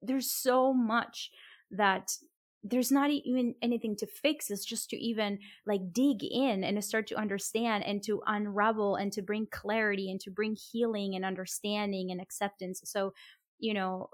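A woman's voice: mid-range voice, fairly even intonation, moderate pace, no echo. Reflective woman from Colombia, dry sound. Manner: warm, reflective, many fillers and restarts. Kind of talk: conversational interview.

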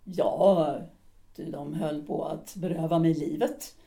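Woman voicing a resentful wife, cool and resigned, mellow darkish tone. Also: deep voice, vocal fry. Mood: bitter. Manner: cool, flat and resigned, turning cutting. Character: bitter middle-aged wife, former actress, full of hatred. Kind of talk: audio drama acting.

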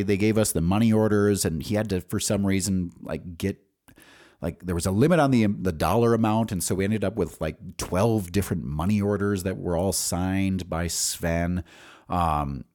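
A man with an American accent speaks in a low, deep voice as he hosts a personal podcast. He tells stories from his life in a loose, rambling monologue. His delivery is informal and halting, as if working through memories aloud. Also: darkish tone. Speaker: podcast host. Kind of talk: casual personal storytelling, monologue.

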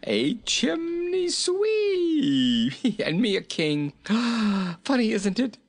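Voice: mid-range voice, huge pitch swings, extremely slow, voice pushed up, heavily acted. A narrator voicing a jolly, fat little king from a storybook, chuckling, exclaiming and repeating himself merrily. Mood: merry, amused.

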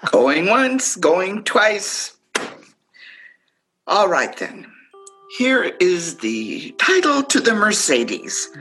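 A woman's voice: medium pitch, big pitch swings, extremely slow, long pauses, a bit bright, husky, soft background music, full character voice, poor audio. A woman acting in a radio play as a matter-of-fact sibling who runs a family auction with playful, orderly calls. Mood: brisk, playful. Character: brisk sibling playing auctioneer at family estate sale.

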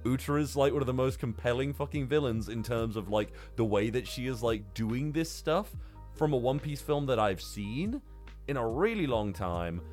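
A man's voice: mid-range voice, expressive, moderate pace, a little mellow, soft background music. A man co-hosting a podcast, chatting informally and swearing freely as he reviews a film. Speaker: podcast co-host. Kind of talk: casual film review chat.

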